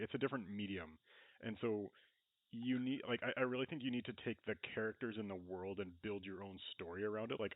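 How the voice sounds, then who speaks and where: A man's voice: lowish voice, no room sound. A man co-hosting a casual podcast discussion, explaining his points and often leaning on the word "like". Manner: explanatory, frequent "like". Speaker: podcast co-host. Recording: narrow phone-like band, dry.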